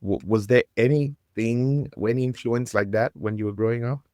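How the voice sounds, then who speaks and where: A man from Africa hosting a podcast interview, enthusiastic and halting, with many fillers and restarts. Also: mid-range voice, a little mellow, no room sound, clean studio sound. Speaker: podcast host. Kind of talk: casual interview chat.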